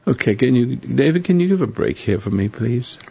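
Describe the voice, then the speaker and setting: Hoarse gravelly male radio host. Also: medium pitch, lively intonation, brisk, warm tone. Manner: rambling, preachy, sardonic. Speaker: radio talk show host. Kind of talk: live call-in radio monologue. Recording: dull lo-fi radio broadcast.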